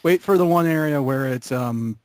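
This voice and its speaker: A man's voice: a little high, moderate pace, no echo. Young man in dry, close sound. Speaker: member of an online gaming video group. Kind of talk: casual group chat.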